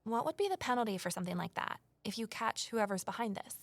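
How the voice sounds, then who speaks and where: An anxious, earnest young woman investigating small-town trouble in an audio drama. Her voice has a frequent fry and sits very dry, and she confides with intensity. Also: fairly high pitch, expressive, fast talker, dry recording. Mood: insistent. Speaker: lead character and first-person narrator. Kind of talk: full-cast audio drama acting and narration.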